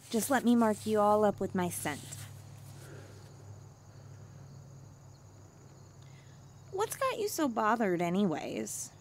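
A woman's voice: medium pitch, a little mellow, a little hiss or hum. A woman playing a haughty, needy young stray catgirl, blunt and cutesy, in an ASMR comfort roleplay. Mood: content, nosy.

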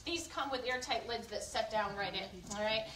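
A woman's voice: a little high, normal speed, a bit bright. A woman giving a live kitchenware cooking demonstration to a group, chatty and instructive, often checking in with questions. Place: indoor room at a live event. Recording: roomy, distant mic, faint background noise.

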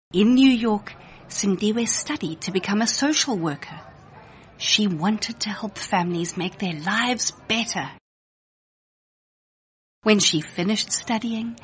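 A woman reading a children's storybook aloud as a scripted narration, with deliberate, expressive phrasing. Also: mid-range voice.

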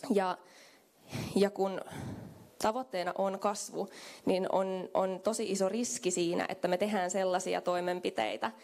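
Young woman doing a keynote, gravelly voice, handheld mic, chatty with lots of fillers. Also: mid-range voice, little pitch movement, darkish tone. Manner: conversational, thinks aloud with fillers. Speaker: keynote speaker. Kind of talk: conference keynote talk. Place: hotel conference room with small audience. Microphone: handheld microphone.

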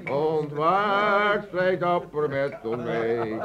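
An actor playing a drunken, amorous revolutionary who rants and pleads in a radio play. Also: male voice, fairly high pitch, animated, drawn-out words. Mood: fervent, tipsy. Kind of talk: Dutch radio play acting. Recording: dull radio play, loud crowd behind.

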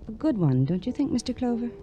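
An actress playing a lonely, enigmatic young woman in an old radio noir drama, with yearning, deliberate phrasing. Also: on the high side, big pitch swings, mellow. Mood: guarded, intense. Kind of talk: late-1940s to 1950s radio noir melodrama acting. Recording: dull old radio recording, music behind.

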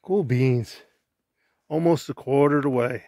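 A man's voice: medium pitch, unhurried, warm tone, dry recording. Upbeat man filming on a trail. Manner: upbeat, chatty, talking to viewers while hiking. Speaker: hiker filming his trail vlog. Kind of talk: casual walk-and-talk narration. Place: outdoors on a rocky mountain trail. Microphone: handheld camera while walking.

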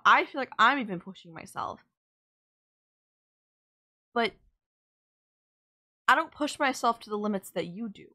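A woman's voice: a little high, pushed and bright. A woman playing a sardonic villain nemesis in an audio roleplay, scolding and teasing the listener in an exasperated but caring way. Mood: exasperated concern.

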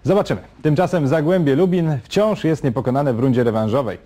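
A man presenting a sports round-up from a TV studio in a measured, wry scripted delivery. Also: a little high, warm tone.

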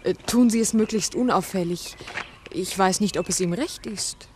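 An actress playing an earnest, modest village schoolmistress in a 1960s German radio play, polite and self-effacing. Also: female voice, medium pitch, normal speed, darkish tone, natural voice. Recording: noisy 1962 mono radio recording.

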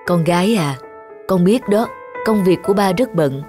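An actor voicing a patient, loving father in a cartoon, gently coaxing as to a child. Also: medium pitch, lively intonation, normal speed, close to an everyday voice. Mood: soothing. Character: patient, loving father soothing his young daughter. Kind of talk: cartoon voice acting. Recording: music clearly behind the voice.

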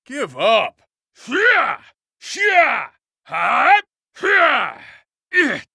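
Brash, bright-voiced male brawler. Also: extremely high pitch, wildly swooping pitch, drawn-out words, gravelly, husky, no echo, studio quality, exaggerated voice. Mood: fierce, cocky. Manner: brash, swaggering. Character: cocky, rowdy male brawler. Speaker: video game voice actor. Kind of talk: short battle voice clips.

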